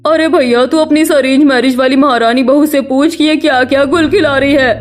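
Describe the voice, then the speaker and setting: A woman's voice: sits high, steady tone, fairly quick, soft background music, close to an everyday voice. A woman playing an older, quarrelsome, nosy aunt in a cartoon family melodrama, sarcastic and grumbling. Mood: complaining.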